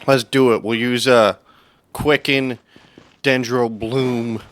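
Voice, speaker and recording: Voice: mid-range voice, little pitch movement, slow, soft background music. A game streamer giving casual live commentary over gameplay.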